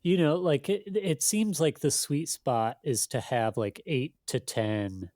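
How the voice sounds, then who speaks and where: A man's voice: on the high side, a little mellow, no echo. Man thinking aloud in a dry room. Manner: thinking aloud, halting, peppered with "you know" and "like". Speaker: magazine editor being interviewed. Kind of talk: casual interview talk.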